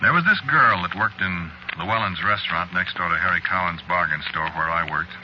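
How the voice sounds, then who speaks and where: An actor playing a shifty working-class American store clerk and cool killer, narrating in a folksy, slangy, confiding way in 1940s radio suspense style. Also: male voice, deep voice, fairly quick, lightly acted. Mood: casual, confiding. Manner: folksy, slangy, offhand storytelling. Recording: dull, noisy vintage radio recording.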